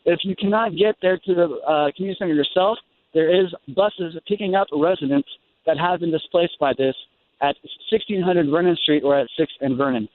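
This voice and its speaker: An American man reporting breaking news live from the scene for radio, speaking in a halting, ad-libbed way and correcting himself as he goes. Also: a little high. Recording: thin phone-like live radio line.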